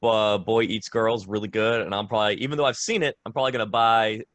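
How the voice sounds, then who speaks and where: A man's voice: fairly low pitch, steady tone, fast talker, fairly bright tone, dry recording. A man interviewing a guest in a casual, conversational way over a video call.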